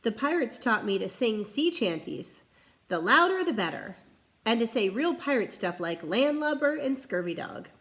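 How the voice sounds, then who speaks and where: Animated woman reading a children's story. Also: a little high, normal speed. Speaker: storybook reader. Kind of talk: expressive children's read-aloud narration.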